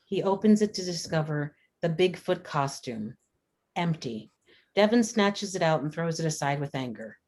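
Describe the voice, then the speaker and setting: Woman reading out screenplay directions, really low voice for a lady. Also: lively intonation, normal speed, darkish tone. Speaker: stage directions narrator. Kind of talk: scripted screenplay narration.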